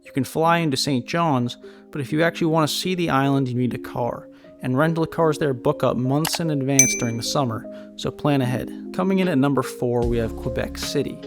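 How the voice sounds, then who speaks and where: A man giving a steady, informative countdown in scripted travel narration. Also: medium pitch, a little fast. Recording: voice-over with guitar music behind.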